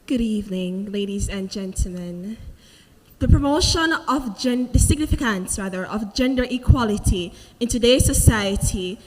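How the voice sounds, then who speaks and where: A Jamaican woman, a pageant contestant, answering softly and formally. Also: mid-range voice, expressive, normal speed. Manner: soft, formal, self-correcting. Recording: long hall reverb, distant mic.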